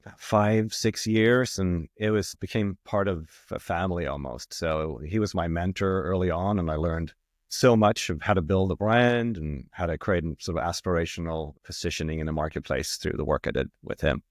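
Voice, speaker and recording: A middle-aged man from Sweden with a Swedish accent, a marketing executive talking about his career in a relaxed, reflective way with many fillers and restarts. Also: low voice, normal speed, no room sound, studio quality. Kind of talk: casual interview talk.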